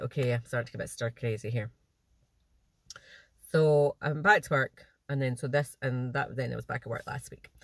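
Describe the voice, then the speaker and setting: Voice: medium pitch, a bit bright, no echo. A cross-stitch vlogger with a naturally nasal voice and a slightly blocked nose, chatting casually and rambling to the camera from a parked car. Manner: chatty, rambling, with false starts and small laughs.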